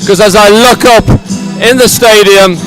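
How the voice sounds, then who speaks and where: A middle-aged man commentates live at a sporting event with music playing loudly behind him. His voice sits high for a man. He ad-libs effusively and sentimentally, often saying a phrase again for emphasis. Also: fairly even intonation, unhurried, rough recording. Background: loud stadium music at a medal ceremony.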